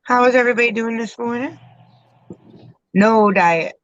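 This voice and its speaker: A woman co-hosting a casual livestream, chatting in a relaxed way with plain short sentences. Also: on the high side, normal speed, dry recording, dull audio. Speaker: livestream co-host.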